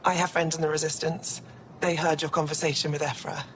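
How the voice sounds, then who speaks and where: An earnest female alien scholar from a sci-fi game, with a slightly low voice. She sounds sincere and hopeful, over a noisy rumbling background. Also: flat delivery, brisk. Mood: pleading. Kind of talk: video game dialogue.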